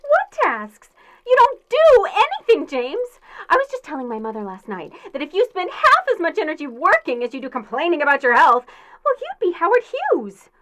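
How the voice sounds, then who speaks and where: A woman's voice: sits high, wildly swooping pitch, moderate pace, a bit bright, full character voice. An actress playing a haughty, easily offended girlfriend in an audio drama, scolding and melodramatic. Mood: indignant, scolding. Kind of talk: theatrical audio drama acting.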